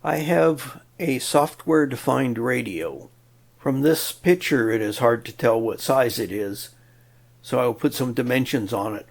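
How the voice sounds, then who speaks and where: Slow-paced, creaky-voiced man explaining electronics. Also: mid-range voice. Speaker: presenter of an electronics tutorial. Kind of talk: explanatory technical narration.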